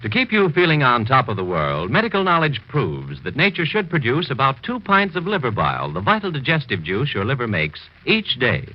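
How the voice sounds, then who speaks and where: A man's voice: medium pitch, huge pitch swings, brisk, few pauses. A man reading a scripted 1940s radio commercial as an announcer, with polished, emphatic salesmanship. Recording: dull old radio recording.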